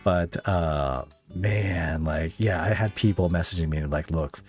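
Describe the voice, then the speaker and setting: A young man hosting a casual podcast interview, with halting, slangy, laid-back phrasing. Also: low voice, darkish tone, breathy edge, faint music behind. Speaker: podcast interviewer, ASMR audio creator.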